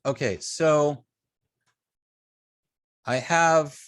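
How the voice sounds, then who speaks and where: A man teaching a class informally, speaking in a halting way and often repeating words as he thinks. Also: a little high, fairly bright tone, clean tone, no echo, studio quality. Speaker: web design class instructor. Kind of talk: informal online teaching and student check-ins.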